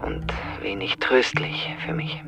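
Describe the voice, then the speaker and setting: An actor playing a disappointed client in a German radio crime drama, formal, dry and hushed. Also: male voice, mid-range voice, lively intonation, takes it slowly, whispered. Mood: cool, bitter. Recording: loud music behind the voice.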